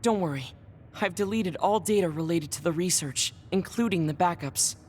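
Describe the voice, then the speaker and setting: An actor playing a serious, formal young man in a game cutscene, speaking in a measured, polite way. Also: high-pitched, a little mellow, slight background noise, close to an everyday voice. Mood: composed. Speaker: game character. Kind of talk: dubbed game cutscene dialogue. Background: game music.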